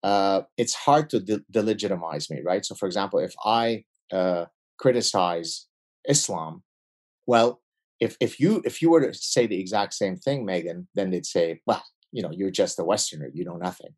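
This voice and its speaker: A man's voice: sits low, moderate pace. A man who grew up in Lebanon with Arabic as his first language, talking as a guest in a podcast interview and making his points in emphatic lists with frequent restarts. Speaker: guest, behavioral scientist.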